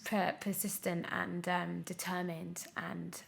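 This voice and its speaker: A woman in her twenties chatting casually as an interview guest, candid and animated, often correcting herself mid-thought. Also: lowish voice, slow, creaky, a little hiss or hum. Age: 20s. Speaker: guest, young actor.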